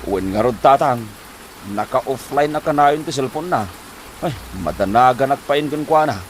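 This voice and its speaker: A man's voice: mid-range voice, moderate pace, close to an everyday voice. A man acting a worried, debt-ridden husband in an Ilocano comedy drama, with an Ilocano accent and a flustered, stammering, sighing manner. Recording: noticeable background noise.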